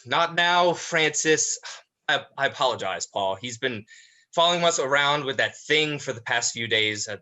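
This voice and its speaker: A man's voice: mid-range voice, animated, moderate pace, pushed and bright. A man playing a polite, apologetic elder brother in a dramatized script reading, hesitant and restrained.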